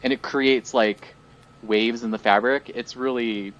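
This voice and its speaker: Man, mid-pitched, losing his voice, rambling casual chat, lots of fillers, podcast co-host. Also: steady tone, unhurried, fairly bright tone, highs cut off. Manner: chatty and rambling, many fillers.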